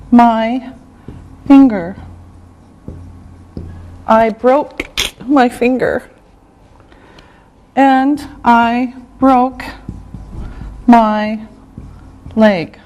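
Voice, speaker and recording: Very slow-speaking woman teacher. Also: a little high, a little mellow, poor audio. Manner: slow, drawn-out repetition of words. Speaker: English teacher. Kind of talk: slow classroom vocabulary lesson.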